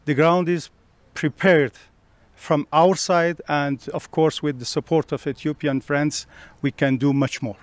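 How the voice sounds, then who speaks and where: A diplomat from Iran speaks emphatically, a little high for a man. The recording is dry, with no room echo. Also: steady tone, unhurried. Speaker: Iranian diplomat. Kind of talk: interview statement.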